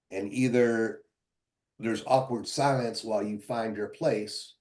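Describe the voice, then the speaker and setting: A man giving sales advice on a training call in a measured, explanatory way. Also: on the low side, darkish tone.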